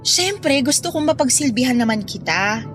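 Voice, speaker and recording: A playful, affectionate young woman in love in a Filipino radio drama. Her voice sits fairly high, eager and caring. Music plays clearly underneath. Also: expressive, normal speed. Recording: music bed under dialogue.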